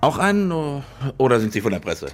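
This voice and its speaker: An actor playing a harried, evasive stadium managing director, flustered and nervously jovial, in a radio crime drama. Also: male voice, mid-range voice, big pitch swings, brisk, over music, natural voice. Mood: deflated, flustered.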